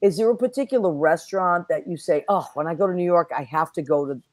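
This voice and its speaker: A woman hosting a casual online talk show, whose first language is Italian, chatting and giving friendly shout-outs with plenty of fillers. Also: lowish voice, fast talker, dry recording. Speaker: host. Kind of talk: casual chat.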